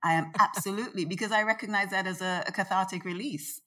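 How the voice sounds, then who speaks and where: A woman guest talking in a chatty, expressive way during a casual interview, speaking from Nevis. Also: mid-range voice, fast talker. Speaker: guest, organization founder.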